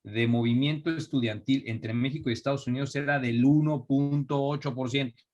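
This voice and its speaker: Man, Mexican accent, young adult, reflective, conversational, mid-pitched voice. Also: steady tone, fairly quick, darkish tone, dull audio. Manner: thoughtful, restarts often, reflective. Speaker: interview guest, entrepreneur. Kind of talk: conversational interview.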